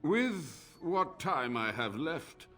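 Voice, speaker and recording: An old scholar mage in a fantasy game. He speaks slowly and gravely, weary and formal, his pitch moving expressively. Faint music plays behind him. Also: sing-song, pushed and bright, heavily acted. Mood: solemn, weary. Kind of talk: video game dialogue.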